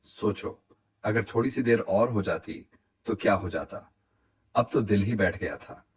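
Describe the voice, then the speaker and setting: An actor playing a weary, anxious poor village father in a cartoon story, fretfully talking to himself in a husky voice. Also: lowish voice, measured pace. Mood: anxious relief.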